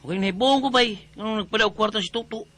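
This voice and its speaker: An actor playing an earnest, insistent tattletale buddy in a radio drama, with a Cebuano Filipino accent. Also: male voice, sits high, rapid-fire, fairly bright tone. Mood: urgent, insistent.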